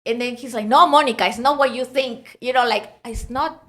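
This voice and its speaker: A woman's voice: fairly high pitch, brisk, a bit bright. A woman from Peru speaking English with a Peruvian Spanish accent, telling her story animatedly in a casual interview and laughing often. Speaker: guest.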